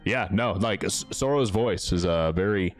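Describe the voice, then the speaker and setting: A man with a deep, smooth voice giving casual live commentary as he plays a game, chatting away to his characters. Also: unhurried. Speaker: game streamer, host. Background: music.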